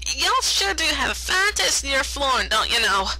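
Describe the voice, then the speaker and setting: A woman's voice: sits high, big pitch swings, normal speed, bright, forceful tone, pitched above the performer's own voice, heavily acted, rough recording. A woman voicing a flustered, folksy female farm pony, with stammering country phrasing, in a story reading. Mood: nervous covering.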